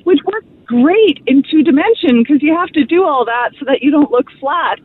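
A woman's voice: high voice, lively intonation, moderate pace. A woman calling in to a radio show, telling a self-mocking funny story with giggles. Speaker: caller. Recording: tinny phone line.

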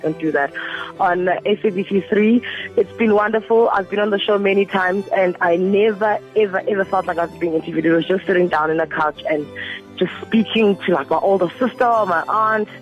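A woman giving a warm, teasing tribute in a recorded voice message played on air. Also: mid-range voice, fairly quick. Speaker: friend sending a voice message. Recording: dull clip played on air with music behind.

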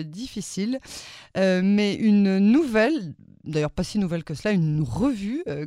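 A woman hosting a radio interview, speaking with a slightly halting, hesitant phrasing. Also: fairly low pitch, dark tone, dry recording, clean studio sound. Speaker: radio host.

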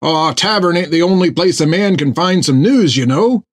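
A man voicing an excitable, food-loving male sailor who talks in a folksy, rambling way. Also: fairly high pitch, normal speed, dry recording, clean studio sound, voice pushed up. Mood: chatty. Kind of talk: audiobook character voice.

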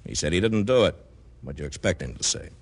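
A deep, gruff American racket boss in a vintage radio detective drama. He talks in a guarded, defensive way, like someone used to giving orders. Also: expressive, fast talker, no room sound, exaggerated voice. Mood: gruff explaining. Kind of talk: old-time American radio detective acting.

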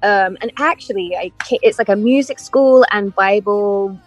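A woman's voice: medium pitch, moderate pace, faint music behind, poor audio. A woman in her thirties with a mixed English and American accent, chatting animatedly as an interview guest over a video call. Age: 30s. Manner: chatty, animated storytelling with frequent laughs. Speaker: guest musician. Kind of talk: casual interview chat.

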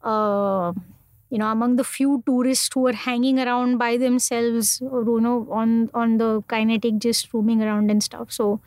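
A young Indian woman telling travel stories in a relaxed interview, rambling on with plenty of ums and restarts. Her voice sits a bit high and sounds close and dry, with no room around it. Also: normal speed, dry recording. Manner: chatty, many fillers and restarts. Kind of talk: casual conversational storytelling.

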